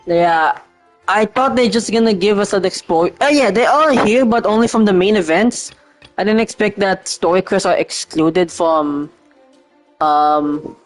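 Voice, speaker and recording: A man giving casual, hesitant gameplay commentary as a streamer, rambling and swearing freely over game music. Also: sits high. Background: faint game music.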